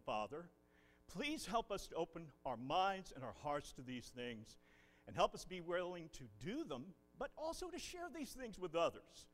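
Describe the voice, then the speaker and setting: A man, a visiting preacher leading a Bible class and prayer in a folksy, animated way. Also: on the high side. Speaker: guest preacher. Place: church building.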